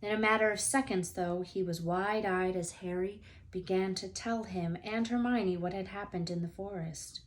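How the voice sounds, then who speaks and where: A woman narrating a children's novel as a read-aloud storyteller, expressive and deliberate. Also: medium pitch, rough recording.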